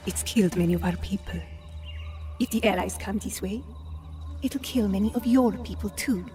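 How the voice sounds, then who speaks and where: A woman playing a stern, challenging wartime resistance fighter in a game cutscene, speaking gravely and with measure. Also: mid-range voice, moderate pace, warm tone, faint music behind, lightly acted. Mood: grim, challenging. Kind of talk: dramatic game cutscene acting.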